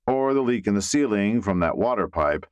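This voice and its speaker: A man's voice: lowish voice, lively intonation, normal speed, darkish tone, clear, resonant tone, no echo, lightly acted. A man playing a curious, caring young man in an audiobook, speaking in an earnest manner. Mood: wry.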